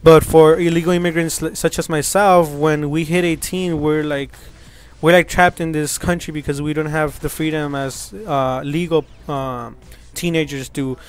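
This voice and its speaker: A young man from Mexico talks casually over gameplay, rambling and earnest, full of fillers and restarts. His voice is fairly high for a man and comes through smooth and clear. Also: steady tone, moderate pace. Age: young adult. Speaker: gaming channel host.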